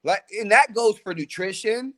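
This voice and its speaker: A man in his forties speaks with a noticeably high voice, pressing his points in an emphatic, motivational way. He is a coach chatting on a podcast. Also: normal speed, over a noisy crowd. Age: 40s. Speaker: track coach and trainer. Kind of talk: casual podcast conversation.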